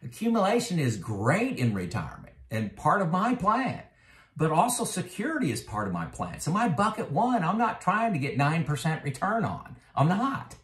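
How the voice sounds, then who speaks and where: A man's voice: a little high, huge pitch swings, moderate pace, a bit bright. Man in his fifties, echoey room. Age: 50s. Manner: conversational, many fillers, emphatic when making a point. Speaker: retirement finance vlogger. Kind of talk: casual explanatory talk to camera.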